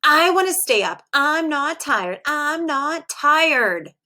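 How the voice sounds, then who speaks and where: Storybook read-aloud: small headstrong boy played by a woman, raised pitch, bedtime-protester type. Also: lively intonation, takes it slowly, pushed and bright, full character voice. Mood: cross, protesting. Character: grumpy stubborn little boy at bedtime.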